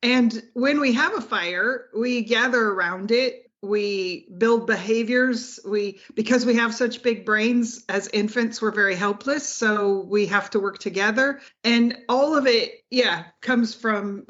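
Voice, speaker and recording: A woman's voice: on the high side, unhurried, hardly any pauses, a bit bright, muffled recording. American woman, thoughtful and laughing. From Colorado, US. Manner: thoughtful, laughs often, restarts phrases. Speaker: guest, engineering professor. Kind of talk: casual interview talk.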